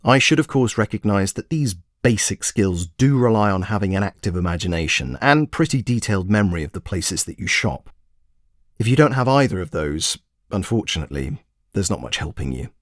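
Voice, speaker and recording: A man's voice: lowish voice, expressive, fairly quick, no echo, studio quality, close to an everyday voice. A man voicing a smug, pedantic, self-admiring traveller in a scripted comic audio monologue, pompous and precise. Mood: pedantic, condescending.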